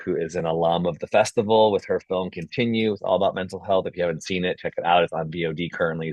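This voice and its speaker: A man's voice: low voice, no echo. A man hosting an online group call in a casual, encouraging and informal way, often dropping "like" into his sentences. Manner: encouraging, informal, peppers talk with "like". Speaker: host of an online filmmakers' meetup. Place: video call. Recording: dull lo-fi video-call audio.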